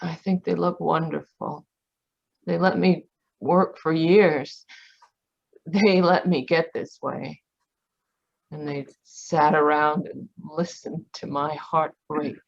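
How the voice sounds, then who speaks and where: A woman acts out a doomed, bitter young dame from an old radio play. She speaks slowly and weakly, with a heavy creak and a wounded weariness. The sound is slightly dull and muffled, like a video call. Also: deep voice, steady tone, heavily acted. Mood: pleading, bitter. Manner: slow, weary, wounded. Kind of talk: 1940s radio drama acting.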